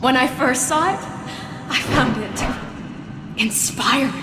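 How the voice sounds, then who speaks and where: An actress playing a vengeful masked villain in a video game, self-righteous and menacing, with a theatrical, taunting delivery. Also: female voice, high voice, slow. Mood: menacing musing. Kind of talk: video game cutscene acting. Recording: distant, heavily echoing hall, clear background noise.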